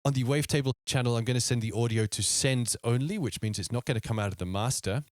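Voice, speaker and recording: A man's voice: medium pitch, fast, no room sound, studio quality. A man hosting a music production tutorial, explaining step by step in an informal way and thinking aloud.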